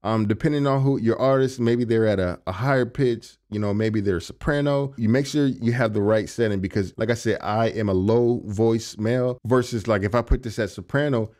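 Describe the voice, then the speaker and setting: A young man with a low voice, casually walking through his vocal recording setup in his home studio, speaking into a studio condenser mic. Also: a little fast, hardly any pauses. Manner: relaxed, conversational, many fillers like "you know what I'm saying". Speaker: music producer and engineer. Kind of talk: casual tutorial walkthrough. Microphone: large studio condenser on a stand.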